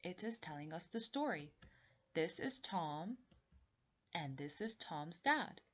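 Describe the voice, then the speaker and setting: A lower-voiced woman guides young children through a read-along story lesson. She explains deliberately and repeats key words, with highly animated pitch movement. The recording is a little dull and lo-fi. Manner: slow, deliberate explaining with repetition. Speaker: teacher reading aloud.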